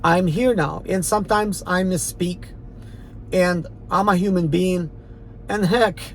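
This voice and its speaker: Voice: mid-range voice, steady tone, unhurried, a little hiss or hum. Defiant rambling speaker, Eastern European accent. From Ukraine, former Soviet Union. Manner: defiant, emphatic and rambling, with many fillers. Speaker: rideshare driver and channel host. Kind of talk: casual unscripted monologue.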